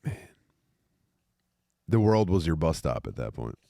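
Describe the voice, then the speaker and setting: A man's voice: extremely low pitch, very animated, unhurried, mellow, no room sound, clean studio sound. A man joking in profane slang and reading old posts aloud in a casual way. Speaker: narrator in an audiobook session.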